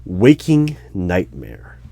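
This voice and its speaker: A man playing a dry, unflappable hiring manager in a one-sided ASMR roleplay job interview, asking measured, halting questions. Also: low voice, huge pitch swings, vocal fry, warm tone, a little hiss or hum, full character voice. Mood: curious, deliberate. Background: computer keyboard typing and clicks.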